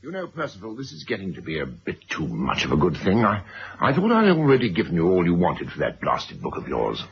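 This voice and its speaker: A middle-aged man acting a blustering, evasive press magnate in a radio drama, hesitant and wheedling, with a gravelly voice. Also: low voice, wildly swooping pitch, fairly quick, exaggerated voice. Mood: irritated disbelief. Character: blustering, evasive wealthy press magnate, cornered by his past. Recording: dull, noisy old radio recording.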